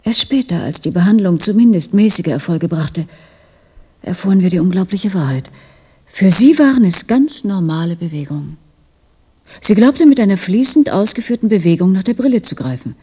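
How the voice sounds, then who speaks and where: A woman acting an earnest, devoted female doctor in a German radio drama, speaking softly and huskily in a measured, intimate way. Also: medium pitch, animated, husky. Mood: grave, measured.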